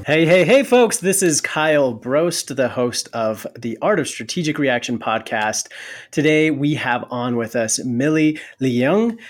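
A man's voice: mid-range voice, animated, measured pace, soft background music. A man hosting a podcast, introducing his guest and asking her questions in an upbeat way. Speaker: podcast host. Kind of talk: podcast interview.

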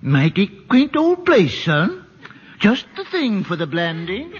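A man's voice: high voice, wildly swooping pitch, slow pace, slightly rough, heavily acted. A man playing a sly old father who sells property, with a folksy drawl, in a 1940s radio play. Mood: sly, unhurried. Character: sly old rural father selling real estate. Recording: dull lo-fi 1940s radio recording.

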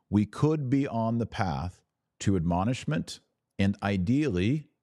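Male podcast host, low register, dry sound. Emphatic, deliberate religious commentator. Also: mellow, dry recording. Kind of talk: religious commentary with read-aloud passages.

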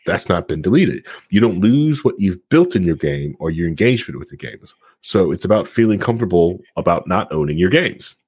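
A man in a casual podcast chat as a co-host, talking in a halting way and often repeating words and phrases. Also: low-pitched, very animated, darkish tone, breathy edge, no room sound.

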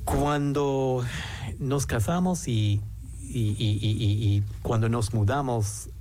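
A man's voice: medium pitch, dark tone, slight background noise. A man, an English speaker, hesitantly talking as a guest in a casual interview. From United States. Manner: hesitant, halting, many restarts.

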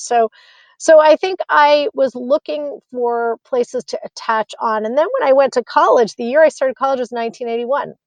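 A woman's voice: a little high, no echo. An American woman in her fifties, a physician, answering interview questions in an earnest, reflective way with many fillers and restarts. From United States. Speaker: physician and guest.

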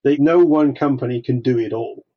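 A man's voice: medium pitch, fairly even intonation, normal speed, breathy edge. A man with a Welsh accent, a guest on a podcast, talking casually with frequent restarts. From Wales. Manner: hesitant, many restarts. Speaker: guest consultant. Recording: slightly dull lo-fi sound.

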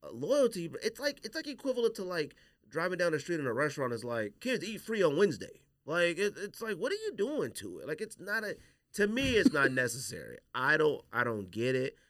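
A man hosting a podcast, talking casually with slangy, opinionated, animated delivery. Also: a little high, fairly quick, no room sound. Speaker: podcast host. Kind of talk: casual sneaker podcast debate.

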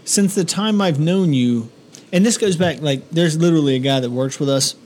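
A man's voice: a little high, moderate pace, a little mellow, a little hiss or hum. A man in his thirties co-hosting a podcast, chatting casually with a joking, teasing, profane manner. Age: 30s. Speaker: podcast co-host.

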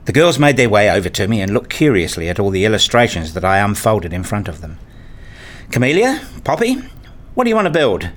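Man, low voice, scripted audio drama narration, measured, reflective, first-person storyteller. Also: big pitch swings. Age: middle-aged. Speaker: narrator and lead actor playing a father.

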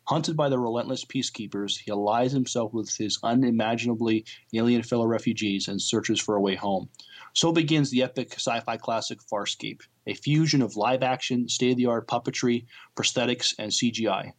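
A husky-voiced man co-presenting a podcast, reading scripted promotional narration aloud. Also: mid-range voice, normal speed.

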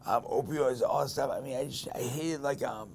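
A man's voice: mid-range voice, moderate pace, vocal fry, a little hiss or hum. A man with a Boston accent hosting a podcast, talking bluntly and candidly in casual conversation. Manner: blunt, candid, animated storytelling. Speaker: podcast host.

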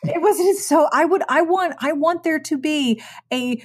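A woman's voice: high voice, normal speed. A woman hosting a podcast interview, chatting enthusiastically and poking fun at herself. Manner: enthusiastic, self-deprecating, chatty. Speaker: podcast host. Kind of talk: conversational interview.

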